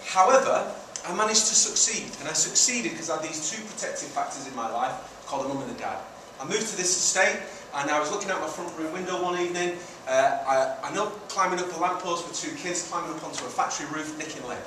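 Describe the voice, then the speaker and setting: A man's voice: a little high, lively intonation, quick pace, hardly any pauses. A middle-aged man from Manchester and Italy giving an informal presentation as a charity founder, with rhetorical questions and emphatic repeated phrases. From Manchester, England, and Italy. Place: indoor community centre meeting room. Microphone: distant camera at the back of room. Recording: very echoey room, distant mic, noisy.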